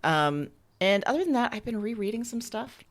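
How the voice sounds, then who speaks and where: A woman's voice: on the high side, animated, normal speed. A woman writer being interviewed, talking candidly and informally in a casual conversational style.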